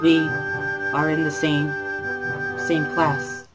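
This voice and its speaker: Man putting on a timid, high-voiced stammering schoolgirl. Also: level tone, loud music behind the voice. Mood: timid, nervous. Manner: halting, stammering, quiet. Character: timid scared teenage schoolgirl, stammering, painfully shy.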